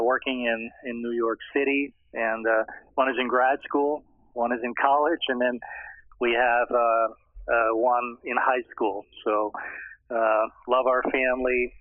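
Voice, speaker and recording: A middle-aged man, answering interview questions in a hesitant way with many pauses and restarts. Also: mid-range voice, no echo. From Austria. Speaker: guest scholar. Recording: tinny phone line.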